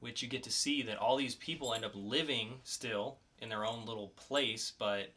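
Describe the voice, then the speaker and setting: A man with a bright, forward voice co-hosts a podcast. He talks in an informal, conversational way, as in a casual review between two hosts. His pitch sits in an ordinary middle range for a man. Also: moderate pace. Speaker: podcast co-host reviewing comics.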